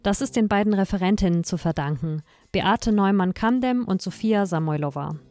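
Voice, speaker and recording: A woman reporter who asks gentle questions and reads scripted narration. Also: mid-range voice, normal speed, faint music behind. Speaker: reporter, interviewer.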